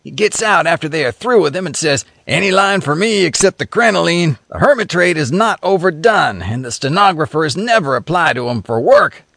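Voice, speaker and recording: A man's voice: on the high side, lively intonation, fairly quick, gravelly, few pauses, sharp tone, dry recording, pitched above the performer's own voice, full character voice. Cynical drawling yarn-spinner, voiced by a man, folksy, lilting, dry. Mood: sardonic. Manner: folksy slangy storyteller.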